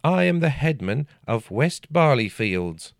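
A man voicing a frightened peasant headman who stammers and quavers, breaking into high panicked shouts. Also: sing-song, a little slow, vocal fry, dry recording, clean studio sound.

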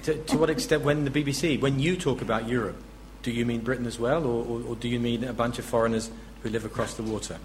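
A man's voice: mid-range voice, steady tone, quick pace, few pauses, a little hiss or hum. A man in the audience asking a wry, teasing question.

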